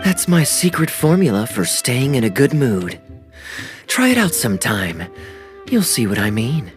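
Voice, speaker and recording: A suave young adventurer from a video game, smooth and teasing, his pitch swinging widely as he toys with each phrase. Game music hums softly under him. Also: big pitch swings, unhurried. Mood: easygoing. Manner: smooth, playful and charming. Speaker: game character. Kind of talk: video game cutscene dialogue.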